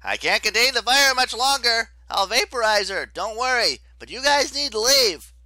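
A man voicing a brash, impish teenage boy hero in an audiobook, calling out in a cocky, excited way. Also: extremely high pitch, normal speed, no room sound, voice pushed up, heavily acted, poor audio. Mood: urgent. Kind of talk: audiobook character acting.

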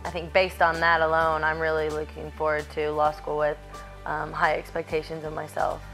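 A young woman in her twenties, an incoming law student, talking calmly in an interview. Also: low-pitched, normal speed, soft background music, rough recording.

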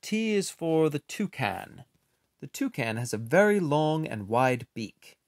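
A man reading a children's picture book aloud in an expressive, unhurried way, with casual, playful asides. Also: on the high side.

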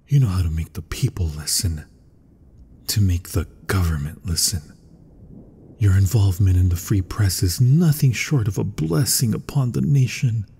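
A man acts out an eccentric mad scientist for a gothic ASMR roleplay. His voice is dark and mellow, very husky and breathy. He speaks with florid, theatrical courtesy and fervor, his words ringing in a long reverb. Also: on the low side, heavily acted. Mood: earnest, hopeful. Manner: florid, courteous, dramatic delivery. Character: eccentric, fervent male mad scientist, gothic horror doctor. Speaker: voice actor in audio roleplay. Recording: long reverberant hall sound.

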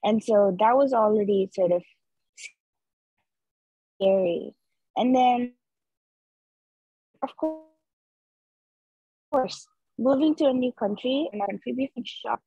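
A woman's voice: fairly high pitch, slow, long pauses, slightly rough, dry recording. A young woman from Nepal, speaking earnestly as an interview guest over a video call, with lots of filler likes. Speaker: interview guest, street artist. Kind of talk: casual reflective conversation. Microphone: video call laptop mic. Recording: dull video call audio.

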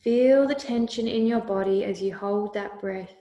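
A woman leading a guided meditation with calm, soothing, deliberate instructions. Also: mid-range voice, dull audio. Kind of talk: slow guided meditation narration. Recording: roomy, echoey voice recording.

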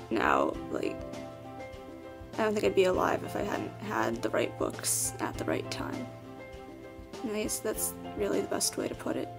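A woman's voice: mid-range voice, gravelly. An eighteen-year-old woman from the United States speaking candidly and from the heart to camera. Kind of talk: personal testimonial to camera.

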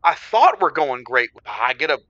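Comic radio drama caller: lovelorn man, nervous, hesitant, bright-toned, dry slightly muffled sound. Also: medium pitch, big pitch swings, moderate pace, no echo. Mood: troubled, earnest. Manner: hesitant, stammering, earnest. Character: nervous, gushing, lovelorn unlucky man calling radio show. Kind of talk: comic audio drama acting.

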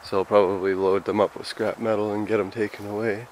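Low-voiced young Canadian man, noisy recording. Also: flat delivery, fast talker, a little mellow. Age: 20s. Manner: laid-back, slangy, rambling. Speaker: vlogger. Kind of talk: casual vlog chat. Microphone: camera's built-in mic, handheld or at arm's length. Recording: noisy handheld camera audio, vehicle and ambient noise.

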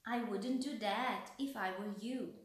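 A woman voicing a small, cautious mouse in a storybook reading, with a squeaky voice. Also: a little slow, natural voice. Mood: warning. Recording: very echoey room.

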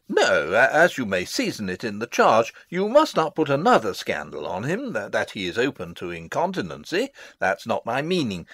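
A man's voice: fairly low pitch, a bit bright, dry recording, studio quality. An actor playing a fussy, long-winded old father and royal counsellor in a theatrical Shakespeare reading, pompous and rambling. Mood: scheming, pompous. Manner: rambling, pompous, theatrical, losing his thread. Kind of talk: dramatic reading of a Shakespeare play.

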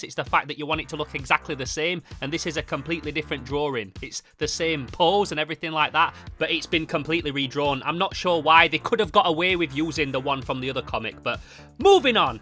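Bright, high-pitched young male reviewer. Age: young adult. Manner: sweary, emphatic. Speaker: host and comic reviewer. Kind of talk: enthusiastic comic review narration.

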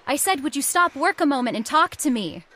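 A woman performs a young heiress in an old-time radio horror drama. Her voice is high and very animated, dramatic and disbelieving, full of insistent distress. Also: fairly quick. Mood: pleading, insistent. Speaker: actor in audio horror drama.

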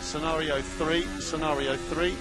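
A man working as a race engineer, giving terse, measured instructions to a driver over team radio and repeating key calls. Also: fairly high pitch, steady tone, over loud music.

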